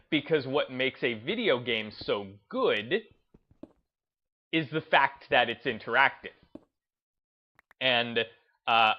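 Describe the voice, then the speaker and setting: A man hosting a gaming video series, giving unscripted commentary in a halting, reflective way and often rephrasing himself. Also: mid-range voice, lo-fi sound.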